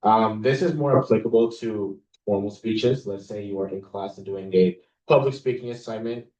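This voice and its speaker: A young man talks to a student club about public speaking, relaxed and confident, pitching his voice lower for authority. He is picked up from across a reverberant meeting room, and the sound is a bit dull. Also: moderate pace. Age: young adult. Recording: roomy, distant mic, dull sound.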